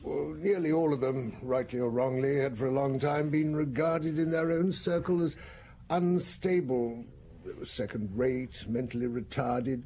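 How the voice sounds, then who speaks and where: An actor playing a thoughtful male psychiatrist professor in a radio drama, measured and reflective. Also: mid-range voice, warm tone, slight background noise, natural voice. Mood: analytical.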